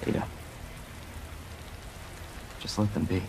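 An actor playing an earnest, idealistic young police officer in a video game cutscene, speaking with determination. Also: male voice, slow pace, background noise, natural voice. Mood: quietly urging.

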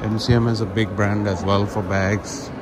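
A man giving relaxed, chatty commentary while walking through a large indoor shopping mall, filming on a handheld phone. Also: fairly low pitch, normal speed, over loud music. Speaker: vlogger. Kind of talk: casual walking vlog narration. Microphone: handheld phone camera.

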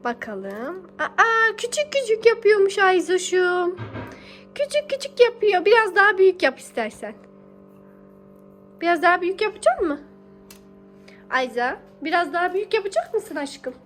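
High-pitched mother coaxing her little child. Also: big pitch swings, normal speed, long pauses, fairly bright tone, faint music behind. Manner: affectionate, encouraging, uses pet names and repeats phrases. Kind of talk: casual coaxing talk to a small child while baking.

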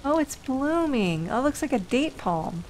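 A woman's voice: high voice, big pitch swings, normal speed, a little hiss or hum, poor audio. A woman hosting a casual let's play, thinking aloud unhurriedly while getting over an illness. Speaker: gaming video host.

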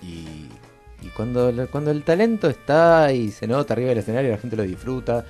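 A man's voice: medium pitch, sing-song, brisk, darkish tone, faint music behind. A man with an Argentine accent co-hosting a radio arts show in a small studio, talking casually with easy fillers. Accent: Argentine Spanish. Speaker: radio co-host. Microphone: studio mic with headphones.

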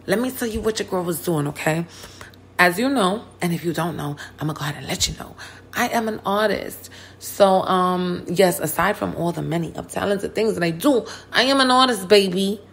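A hoarse woman hosting a hip hop and politics podcast, talking casually and full of slang and hype. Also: fairly low pitch, a little hiss or hum. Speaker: podcast host. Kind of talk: casual chat.

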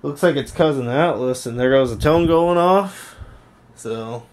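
A man's voice: medium pitch, a little slow, very noisy. A man hosting a hobby tech vlog, casually narrating a tour from behind a handheld camera in a small room at home. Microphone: handheld camera held by the speaker.

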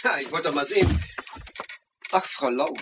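An actor playing a senior police officer in a crime radio play, wry and familiar, with a casual, probing manner. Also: male voice, a little high, lively intonation, normal speed, a bit bright, a little hiss or hum. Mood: chatty, probing.

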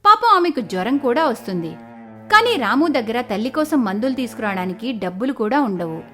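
Expressive, higher-pitched woman storyteller. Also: brisk, soft background music. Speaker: narrator. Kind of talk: scripted moral story narration.

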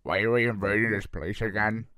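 A man's voice: fairly low pitch, steady tone, fairly quick, sharp tone, clean tone, dry recording, clean studio sound. A man voicing an easygoing plague god who lives with housemates, acted for a comic dub. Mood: laid-back. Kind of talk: comic dub character acting.

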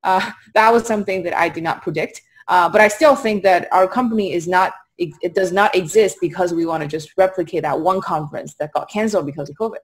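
A young woman with a Chinese accent speaks in a fairly low voice. She answers as a founder being interviewed, in a conversational way, over an indoor video call. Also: a little fast, few pauses. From Qingdao, China. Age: young adult. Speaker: startup founder, interview guest. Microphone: video call at desk distance.

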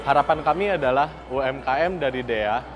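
A man's voice: mid-range voice, a little fast, over music. A man giving an interview statement as a company representative.

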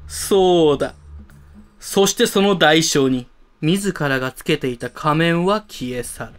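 A man's voice: fairly high pitch, a little slow, close to an everyday voice. A man playing a pompous, villainous school club president obsessed with masks, grandiose and theatrical, in an amateur voice drama. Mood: gloating, grand.

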